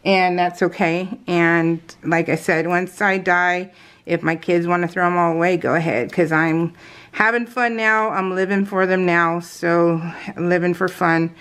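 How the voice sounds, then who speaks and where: A woman's voice: lowish voice, fairly even intonation, unhurried, fairly bright tone, clear, resonant tone. A middle-aged woman chatting casually and giggly as she crafts, rambling in an unscripted, self-amused way like a hobby vlogger.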